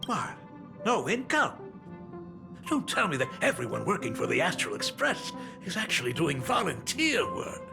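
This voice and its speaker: A man's voice: on the high side, huge pitch swings, moderate pace, creaky, full character voice. An actor playing an awkward, doting middle-aged father who talks in a hesitant, apologetic, bumbling way. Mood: surprised. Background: game soundtrack music.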